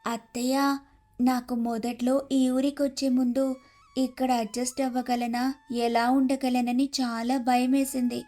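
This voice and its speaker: A woman's voice: sits high, little pitch movement, a little slow, warm tone. A woman playing an earnest, respectful young daughter-in-law in a moral story. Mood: grateful. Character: eager, grateful, trusting young daughter-in-law. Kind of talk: scripted storybook acting.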